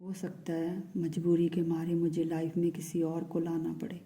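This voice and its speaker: A woman voicing a hushed, lovesick man. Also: low voice, completely flat delivery, normal speed. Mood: tender, pleading. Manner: soft, hushed, often whispering. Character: lovesick young man, pleading and tender. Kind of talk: channelled love message in first person.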